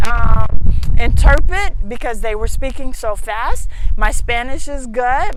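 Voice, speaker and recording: Female host, American accent, slightly high voice. Opinionated, expressive storyteller who talks straight to viewers and leans on key phrases. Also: background noise. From Houston, Texas, United States. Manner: emphatic, expressive, repeats phrases for effect. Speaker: talk show host.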